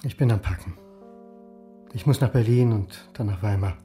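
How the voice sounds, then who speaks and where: A man's voice: on the low side, normal speed, mellow, faint music behind, lightly acted. An actor playing a weary, curt man in his forties, a grown son in a German radio drama. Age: 40s.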